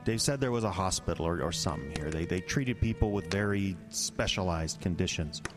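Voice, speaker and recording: Man playing a defensive, evasive low-voiced guy. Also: animated, a little fast, music in the background. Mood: uneasy storytelling. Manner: stammering, defensive. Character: defensive, evasive middle-aged man, gruff and dismissive. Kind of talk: audio drama acting.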